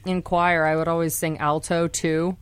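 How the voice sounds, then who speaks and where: A woman's voice: low voice, level tone, normal speed, clean tone, no echo. A woman co-hosting a casual radio chat, eager and upbeat.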